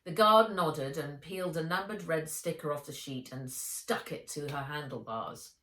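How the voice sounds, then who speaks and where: A woman author reading her children's adventure book aloud in an expressive storytelling style. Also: deep voice, moderate pace, far from the mic. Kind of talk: expressive children's audiobook narration. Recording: roomy, echoey room sound.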